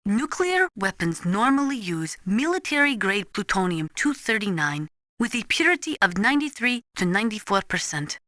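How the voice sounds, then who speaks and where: Bright-voiced, formal nuclear analyst. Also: female voice, medium pitch, very animated, normal speed, hardly any pauses, no room sound, clean studio sound. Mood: serious, explanatory. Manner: formal, precise, avoids contractions, lecturing. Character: serious, knowledgeable female nuclear weapons analyst. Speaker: video game voice actor. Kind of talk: scripted expository game dialogue.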